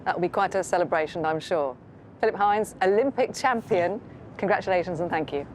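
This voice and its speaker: A woman, a news presenter interviewing guests, with brisk conversational questioning. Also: on the low side, slight background noise, low-quality recording.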